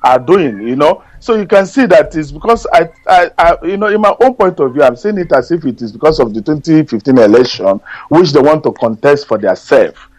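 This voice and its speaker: A middle-aged Nigerian man whose first language is Igbo, calling in to a radio show and speaking haltingly with many fillers and repeated words. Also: a little high, animated, a little mellow, dry recording. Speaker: radio caller. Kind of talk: call-in radio talk. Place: Germany.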